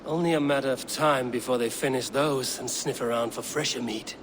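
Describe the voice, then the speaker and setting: An actor playing a stern, dry-witted Viking warrior in a video game, speaking in a measured, deadpan, commanding way. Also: male voice, medium pitch, a little hiss or hum, natural voice. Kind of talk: video game cutscene acting.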